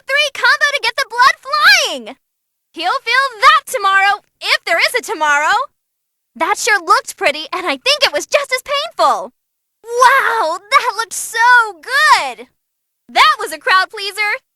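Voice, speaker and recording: A woman's voice: very high voice, noisy background, heavily acted. A woman playing a perky, bloodthirsty, non-human fight announcer in a game-style performance, theatrical and playful. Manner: gleeful, dramatic, playful. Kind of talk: excited ringside fight commentary.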